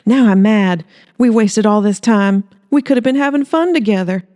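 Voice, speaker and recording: A woman's voice: mid-range voice, moderate pace, mellow, voice pushed up, natural voice. Woman putting on a feisty, flirty small-town gal. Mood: indignant.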